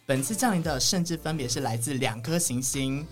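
A man's voice: mid-range voice, fairly quick, faint music behind. A man with a Taiwanese accent co-hosting a casual podcast in a teasing, bossy way. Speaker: podcast co-host. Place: recording studio.

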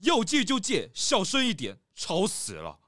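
A man's voice: high voice, wildly swooping pitch, a little slow, a bit bright, no echo, clean studio sound, heavily acted. A man voicing a grumpy, sleepy grizzly bear who complains irritably in a children's audio drama. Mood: irritated.

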